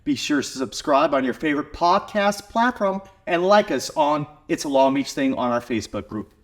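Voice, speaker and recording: A man's voice: fairly high pitch, animated, moderate pace, fairly bright tone. A man with an American accent hosting a podcast, speaking casually as he interviews his guest. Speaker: podcast host. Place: small garage video studio, on location.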